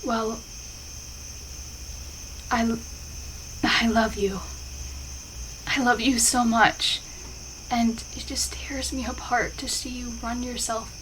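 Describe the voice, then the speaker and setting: High-voiced, shy young-love best friend, acted by a woman. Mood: tender, nervous. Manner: halting, bashful, stammering. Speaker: voice actor in an audio roleplay. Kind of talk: intimate ASMR roleplay acting. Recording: clear insect and outdoor ambience noise. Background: crickets and night ambience.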